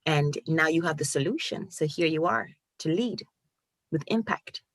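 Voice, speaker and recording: A woman from Ghana in her forties, an online course coach telling her story in an animated, casual way with restarts and fillers. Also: low voice, normal speed, no room sound. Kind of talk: casual interview chat.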